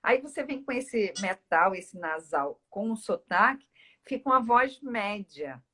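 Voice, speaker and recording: A woman's voice: medium pitch, expressive, measured pace, pushed and bright. A woman from Northeast Brazil with a Brazilian accent, talking at length in a casual, explanatory conversation as a speech therapist. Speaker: speech therapist, interview guest.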